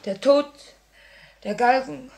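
A young woman revolutionary with a fairly high voice and a marked creak in it. She speaks with solemn, theatrical passion, torn between grief and resolve, in the manner of 1950s German radio drama. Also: slow pace, background noise, full character voice. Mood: anguished. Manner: theatrical, solemn, impassioned delivery.

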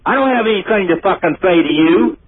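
High-voiced Southern man on a tinny phone. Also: expressive, quick pace, slightly rough. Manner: hostile, curt, foul-mouthed. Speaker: prank call recipient. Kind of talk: irritated phone conversation. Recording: noisy, tinny telephone line.

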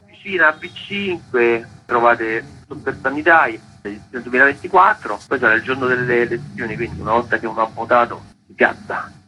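Rambling man, bright voice. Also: medium pitch, a little fast, a little hiss or hum, low-quality recording. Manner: rambling, relaxed, many fillers. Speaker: festival organizer, guest. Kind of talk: casual radio interview.

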